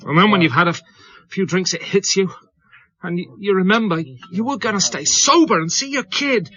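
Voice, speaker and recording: A man's voice: high voice, a little fast, pushed and bright, breathy edge, no room sound, dull audio, full character voice. An actor playing a self-pitying, drunken widowed father in a radio drama, despairing and apologetic, with slurred, maudlin delivery.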